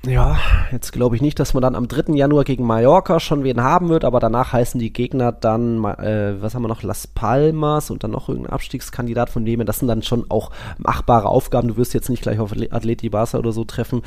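A man's voice: mid-range voice, quick pace, few pauses, darkish tone. A man hosting a football podcast, chatting casually and colloquially about matches, often with a chuckle in his words. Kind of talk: casual sports chat.

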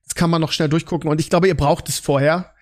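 A man with a fairly high voice hosts a casual podcast. He talks in a conversational way, often doubling back on words while he shapes a thought. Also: fairly even intonation, quick pace. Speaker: podcast host. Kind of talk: casual podcast chat about series and films.

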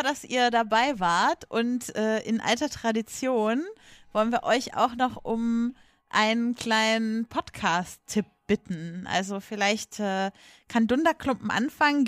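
A woman with a mid-pitched voice hosts a podcast. She moderates in a casual, conversational style, recorded very dry with no room sound. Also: no echo. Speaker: podcast host.

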